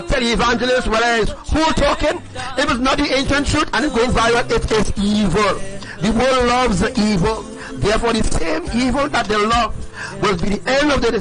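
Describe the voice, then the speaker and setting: High-voiced man preaching with fervent repetition. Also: poor audio. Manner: emphatic and repetitive, asks the audience "right?". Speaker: livestream preacher. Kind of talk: impassioned livestream preaching.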